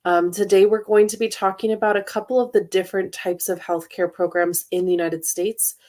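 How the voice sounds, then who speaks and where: A woman presenting in a webinar about study programs in an informative, steady way, with frequent hesitation fillers, over a computer mic. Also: mid-range voice, fairly quick. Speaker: university international student services director, presenter.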